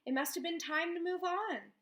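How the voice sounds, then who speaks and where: Lady reading as a calm old granny, soft and soothing, bright tone. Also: extremely high pitch, moderate pace, roomy sound, pitched above the performer's own voice. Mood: resigned. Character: gentle old grandmother, calm and tired. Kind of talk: read-aloud storybook.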